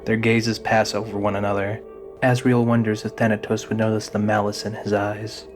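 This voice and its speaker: Male narrator for a scripted audio drama, lower-pitched, reading prose with a prominent music bed underneath. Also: little pitch movement, normal speed.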